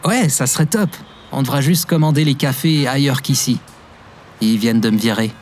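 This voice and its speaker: An actor playing an easygoing, forgetful, cheerful young barista in an audio drama, with a casual, good-natured manner. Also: male voice, medium pitch, normal speed, a little mellow, slight background noise, natural voice. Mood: cheerful, friendly. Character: Timo, easygoing, forgetful, cheerful young male barista telling his past. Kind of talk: audio drama acting and informal storytelling.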